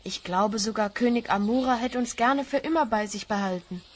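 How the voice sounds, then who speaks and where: A woman's voice: on the high side, a little fast, slight background noise, lightly acted. A woman playing an earnest, polite young hero on a quest in a children's fantasy radio play. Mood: wistful.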